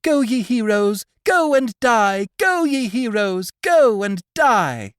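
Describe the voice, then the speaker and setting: A chorus of young sisters, pitched way up and belting their mock-heroic farewell, done by a man. The lines swing up and down in a theatrical singsong, with a dry, close sound. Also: sing-song, slow pace, a bit bright, clear, resonant tone, no room sound, heavily acted. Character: chorus of young women, mocking and dramatic.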